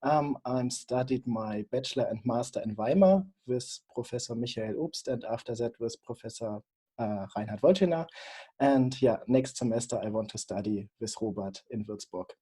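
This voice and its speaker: A man's voice: mid-range voice, moderate pace, warm tone, breathy edge. A man in his twenties from Germany introducing himself as a composition student on a video call. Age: 20s.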